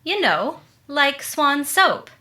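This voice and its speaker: A woman's voice: high voice, big pitch swings, slow, sharp tone, roomy sound, faint music behind, full character voice. A woman playing a cheery, amused neighbor and soap seller in 1940s radio style. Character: perky, amused neighbor friend and soap pitchwoman. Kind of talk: 1940s radio ad and comedy acting.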